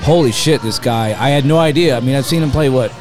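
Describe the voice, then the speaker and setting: A man with an ordinary middle-pitched voice talks bluntly on a casual football podcast. He drops dry asides between points. Faint music plays behind him. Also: fast, a little mellow. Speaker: podcast co-host. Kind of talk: casual sports chat.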